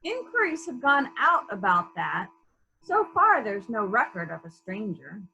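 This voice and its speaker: Woman playing a bright, animated police inspector. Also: mid-range voice, measured pace, vocal fry, lo-fi sound, exaggerated voice. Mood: polite, probing. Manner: formal, clipped questioning. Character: polite, probing police inspector questioning a witness. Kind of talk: dramatic reading of a murder mystery.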